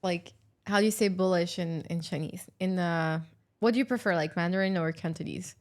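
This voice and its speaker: A woman's voice: fairly low pitch, a little fast. A woman hosting a casual podcast chat, asking playful, curious questions and giggling. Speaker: podcast host.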